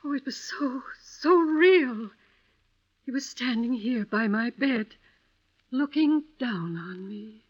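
An actress playing a frail, distressed sea captain's wife with a trembling manner, in an old-time radio drama. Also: female voice, a little high, expressive, takes it slowly. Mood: dreamy, shaken. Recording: dull lo-fi vintage radio recording.

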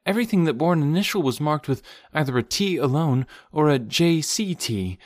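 Man voicing a gentle, earnest young woman, lifted pitch. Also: lively intonation, fairly quick, dry recording, lightly acted. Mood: sad, explaining. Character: concerned young woman, chatty and gentle friend. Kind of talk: audiobook character voice.

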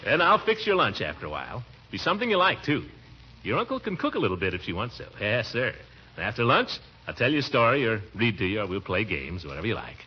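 An actor playing a fussy, affectionate middle-aged uncle in a theatrical, blustery 1940s radio comedy style. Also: male voice, fairly low pitch, huge pitch swings, fast. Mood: doting, reassuring. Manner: blustery, theatrical, with swooping exclamations and chuckles. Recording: muffled, noisy vintage radio recording.

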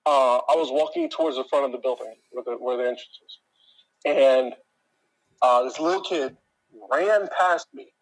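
A man with a deep voice that carries a faint huskiness. He tells a story from his job as a long, exasperated rant, in loose, casual chat aimed straight at his listeners. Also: normal speed, low-quality recording.

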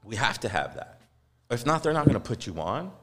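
A man's voice: medium pitch, big pitch swings, a little fast, fairly bright tone. A man hosting a podcast in heated, casual talk, impassioned and profane. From Los Angeles. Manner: impassioned, profane, mocks others in quoted voices. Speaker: podcast host. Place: podcast studio. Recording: long hall reverb, faint music.

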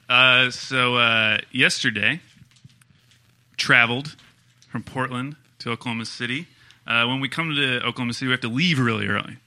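A man's voice: medium pitch, normal speed, pauses often, bright, forceful tone. A man on a podcast telling a dry, self-deprecating story about an early morning trip. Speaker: podcast cohost.

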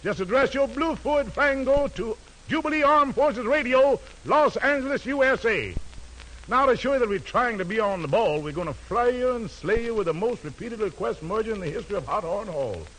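Male American radio host, very high voice, showy jive patter, old 1940s broadcast sound. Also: animated, normal speed, fairly bright tone, poor audio. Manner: showy, slangy delivery.

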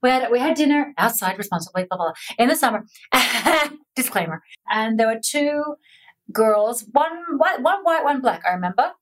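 A woman interviewing a guest, telling stories in an animated, chatty way with laughter. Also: on the high side, moderate pace. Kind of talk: conversational interview.

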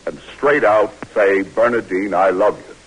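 An actor playing a brisk, stern but caring American army lieutenant in an old radio drama, blunt and commanding yet coaxing, on a hissy old recording. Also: male voice, fairly low pitch, fairly even intonation, breathy edge, low-quality recording.

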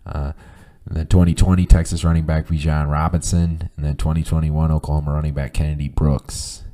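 A hoarse man getting over the flu, hosting a casual solo podcast and talking in a halting way with many fillers. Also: a bass voice, dark tone. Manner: halting, many ums and uhs, reads off lists of stats. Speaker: podcast host.

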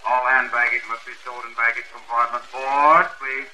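An actor playing a formal bus station announcer, droning out departures in an old radio comedy. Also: male voice, medium pitch, flat delivery, moderate pace, sharp tone, poor audio. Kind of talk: 1940s radio comedy acting. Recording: hissy old radio recording.

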